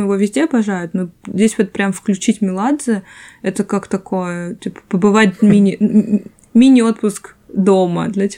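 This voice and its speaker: A woman's voice: medium pitch, warm tone. A woman in her early thirties co-hosting a podcast, telling stories in a chatty, informal way. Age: early 30s. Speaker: podcast co-host. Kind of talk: casual chat among co-hosts.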